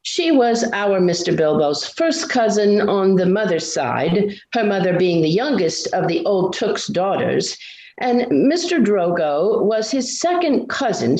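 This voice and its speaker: A woman voicing an old male hobbit gardener in a storybook reading, talkative and slow, with folksy village authority. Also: medium pitch, a little mellow. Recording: roomy indoor echo.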